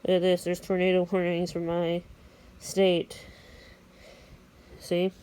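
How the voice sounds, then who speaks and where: A woman's voice: lowish voice, monotone, takes it slowly, dark tone, clear, resonant tone. A woman giving casual, matter-of-fact, rambling commentary to a phone at home, half muttering to herself. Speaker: amateur weather radio vlogger. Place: room at home at night. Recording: faint background noise, handheld home recording.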